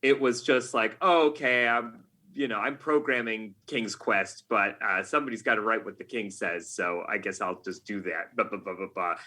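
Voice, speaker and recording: A man's voice: fairly low pitch, steady tone, normal speed, pushed and bright. A man talking casually as an interview guest, telling stories in a jokey, self-deprecating way. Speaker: podcast guest, game writer.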